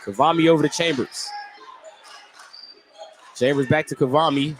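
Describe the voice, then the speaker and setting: A man's voice: fairly high pitch, normal speed. A man working as a radio play-by-play announcer, describing a live basketball game in clipped, running phrases. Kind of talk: live radio sports commentary. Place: indoor basketball gym. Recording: very noisy live gym broadcast.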